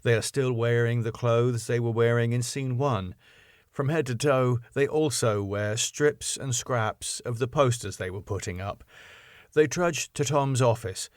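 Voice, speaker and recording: A man's voice: mid-range voice, measured pace, no room sound, studio quality. A man reading out scene headings and stage directions from a script as scripted narration. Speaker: writer and script reader.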